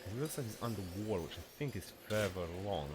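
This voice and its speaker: A man's voice: on the low side, lively intonation, quick pace, noisy background. A man with a stuffy, cold-congested voice, giving rambling, casual commentary while streaming a video game and often swearing.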